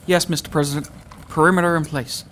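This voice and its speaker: A man's voice: fairly high pitch, steady tone, fast talker, a bit bright, a little hiss or hum, close to an everyday voice. A man playing a formal, deferential, anxious presidential aide in an audio drama. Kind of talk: fan-made audio drama acting.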